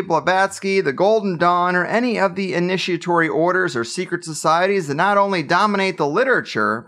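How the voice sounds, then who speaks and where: A man's voice: high voice, fairly bright tone. A man hosting a podcast, reading a dramatic scripted introduction and then chatting with his guest. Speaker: podcast host.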